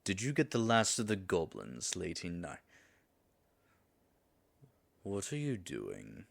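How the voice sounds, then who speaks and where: Deep, gravelly dragon played by a man. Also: huge pitch swings, moderate pace, heavily acted. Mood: pleading. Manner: dramatic, formal, wry. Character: proud, exasperated male dragon guarding his treasure. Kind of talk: scripted solo audio roleplay.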